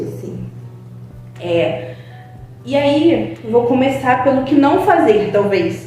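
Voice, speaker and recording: A young woman, a psychologist, talks in a mellow, fairly high voice, earnest and reflective, often pausing on a drawn-out filler. She sits back from the mic in a strongly echoing room with faint music underneath. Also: far from the mic. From Brazil. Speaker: psychologist guest. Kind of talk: informal spoken talk to a web TV channel.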